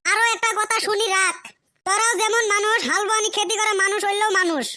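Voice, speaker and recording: A man voicing a brash, lovesick village college boy in a comic cartoon, with a Lower Assam rural accent and a pitched-up effect. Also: steady tone, brisk, sharp tone, full character voice. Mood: indignant. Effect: pitched-up chipmunk-like voice. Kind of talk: comic meme cartoon dialogue.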